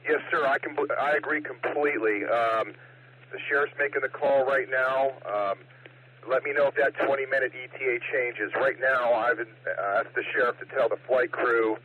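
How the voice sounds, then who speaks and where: A man who is an emergency responder, coordinating haltingly over a two-way radio. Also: medium pitch, fairly even intonation, phone-quality sound. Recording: clearly noisy police radio transmission.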